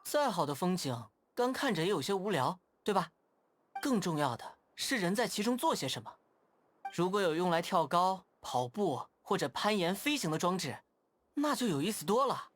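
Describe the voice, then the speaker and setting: A playful young scout, lively. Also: male voice, high-pitched, moderate pace, a little hiss or hum. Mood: friendly. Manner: friendly, playful, animated. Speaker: game character. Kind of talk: game voice lines.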